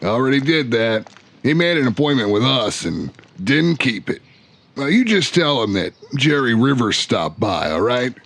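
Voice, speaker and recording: An actor playing a brash male talk show host, narrating his own investigation in an audio drama with a folksy, swaggering manner and dramatic pauses. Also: mid-range voice, very animated, a bit bright. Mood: cocky, wry. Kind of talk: scripted first-person narration in an audio drama.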